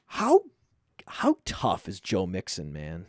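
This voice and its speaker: A man from Maine with a mostly suppressed Maine accent, hosting a casual sports podcast, often repeating words and trailing off quietly. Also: low voice, wildly swooping pitch, slow, no echo, clean studio sound. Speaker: podcast host.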